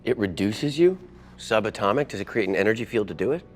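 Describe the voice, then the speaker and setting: Actor playing a cocky low-voiced young tech whiz. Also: male voice, expressive, fast talker, slight background noise, lightly acted. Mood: eager. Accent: American. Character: cocky, chatty, big-hearted young male tech whiz. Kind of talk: TV drama table read. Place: large meeting room full of people.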